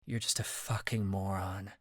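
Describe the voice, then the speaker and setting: A man's voice: deep voice, level tone, normal speed, no room sound, studio quality, voice pushed down. A man voicing a defiant young woman who speaks firmly and cuttingly. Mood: cold firm. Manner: firm and cutting.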